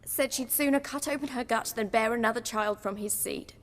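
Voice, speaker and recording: A woman's voice: a little high, fairly quick, big-room sound, natural voice. An actress with a British accent playing a bitter, defiant young woman in a dramatic video game. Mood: shaken, distressed.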